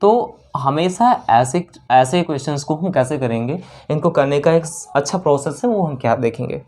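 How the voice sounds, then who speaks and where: A man's voice: on the high side, animated, moderate pace, darkish tone. A man with a North Indian accent teaches maths step by step, repeating key phrases and often checking in with short questions. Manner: instructive, repetitive, with frequent check-in questions. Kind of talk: lesson explained while solving problems.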